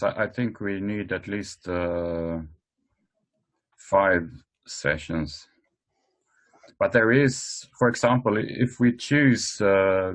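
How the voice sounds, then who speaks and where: A man presenting informally in an online work meeting, speaking hesitantly with frequent pauses. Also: low-pitched. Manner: hesitant, halting delivery with many pauses. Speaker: meeting host presenting tools. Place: online conference call.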